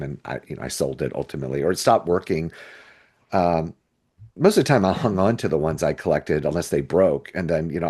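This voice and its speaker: Middle-aged American male co-host, lower register, informal and conversational, thinks aloud. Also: a little mellow. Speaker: podcast co-host. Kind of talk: casual discussion.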